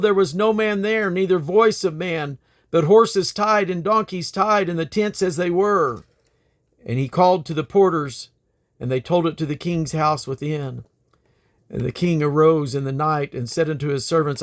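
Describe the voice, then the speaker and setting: A man reading the Bible aloud in a creaky voice, delivered deliberately, phrase by phrase, with pauses. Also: on the high side. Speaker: Bible reader. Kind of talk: scripture reading aloud from the King James Bible.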